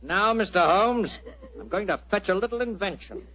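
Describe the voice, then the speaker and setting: An actor playing a gloating, menacing ringleader of assassins in an old radio drama, sneering and taunting with mock politeness. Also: male voice, high voice, animated, moderate pace, fairly bright tone, poor audio. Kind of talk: 1940s radio drama acting. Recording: old-time radio broadcast recording.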